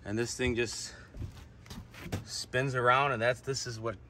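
A man's voice: mid-range voice, steady tone, measured pace, sharp tone. A man casually explaining and asking questions off the cuff as he films with a handheld camera. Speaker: camera operator and interviewer. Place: indoors in a wooden barn workshop. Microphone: handheld camera or phone mic. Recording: noisy handheld recording in workshop.

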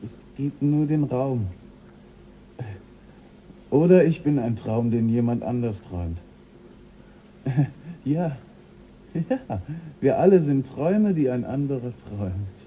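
An actor playing an astronaut crew member drifting into madness, talking dreamily and laughing to himself in a radio drama. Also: male voice, mid-range voice, animated, slow, long pauses, mellow, slight background noise. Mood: dreamy. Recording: dull lo-fi radio play recording.

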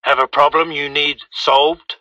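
An actor playing a cold, confident bounty hunter in a raspy voice, speaking in terse, threatening lines. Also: male voice, a little high, little pitch movement, a little slow, bright, forceful tone. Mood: businesslike threat. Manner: terse, threatening one-liners. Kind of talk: film and game villain acting. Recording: muffled soundboard clips over phone.

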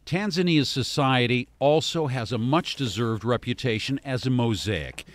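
A man presenting a television news feature in a measured, formal delivery. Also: medium pitch. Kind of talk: scripted news narration.

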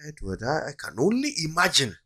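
A man hosting a radio talk show speaks from a studio. He asks short, sympathetic questions, and his pitch moves in a lively way. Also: a little fast, a crowd in the background. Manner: sympathetic, brief prompting questions.

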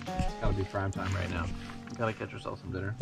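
A man in a canoe chatting with his fishing partner in a lively, joking way. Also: deep voice, fast talker, gravelly, loud music behind the voice. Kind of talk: casual outdoor chat. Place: canoe on a lake, outdoors.

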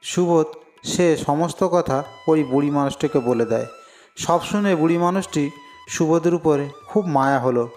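High-pitched male cartoon storyteller. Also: normal speed, a little mellow, soft background music. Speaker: storyteller narrator. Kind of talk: scripted cartoon story narration.

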